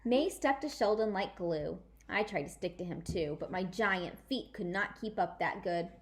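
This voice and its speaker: A woman's voice: lowish voice, normal speed. Woman reading a children's story aloud. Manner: animated, emphatic storytelling. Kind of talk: expressive children's book read-aloud in a child narrator's words.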